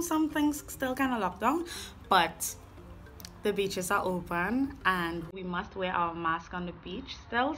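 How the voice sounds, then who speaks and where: A woman's voice: mid-range voice, pushed and bright. A young woman with a Trinidadian accent, chatting casually to the camera as a vlogger. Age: young adult.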